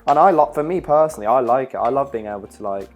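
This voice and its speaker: A young man with a husky voice chats casually while he cooks. He teases and laughs easily, and his talk stays loose and playful. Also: mid-range voice, expressive, quick pace, highs cut off, soft background music. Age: young adult. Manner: jokey and teasing, laughs often. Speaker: vlogger cooking with his mum. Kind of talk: casual chat while cooking.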